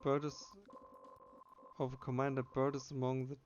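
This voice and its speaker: A man's voice: medium pitch, monotone, normal speed, a little mellow, dull audio, a little hiss or hum. A man casually playing through a game, reading its text aloud and muttering his own asides. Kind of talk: casual game playthrough with read-aloud dialogue.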